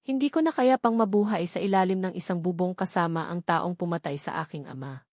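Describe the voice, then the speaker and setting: A woman's voice: fairly low pitch, fairly quick, a little mellow, no room sound, clean studio sound, lightly acted. A woman voicing a bitter, unyielding wife in an audio drama, accusing and emphatic. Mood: anguished, resolute.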